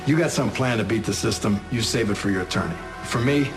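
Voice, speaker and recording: Low male voice, actor as dry police detective, measured, formal, audio crime drama, background music. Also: natural voice. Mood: dryly needling. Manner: measured, formal, matter-of-fact.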